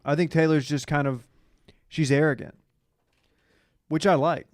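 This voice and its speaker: Smooth-voiced man in casual conversation. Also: on the high side, fairly even intonation, unhurried, no room sound, clean studio sound. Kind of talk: casual chat about a reality TV show.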